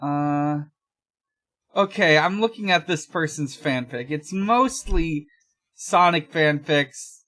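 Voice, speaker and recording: A woman's voice: deep voice, lively intonation, slow, a bit bright. A woman co-hosting a podcast, chatting casually and reading fan fiction aloud. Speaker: podcast co-host.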